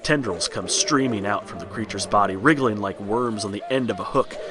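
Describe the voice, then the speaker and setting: A man's voice: fairly low pitch, fairly quick, noisy background. A man narrating a horror tale as a scripted read-along storybook. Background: music.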